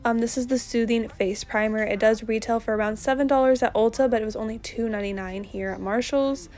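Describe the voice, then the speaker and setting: A young woman narrating a casual shopping haul, chatty and relaxed, with lots of ums and likes. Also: a little high, brisk. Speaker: vlogger.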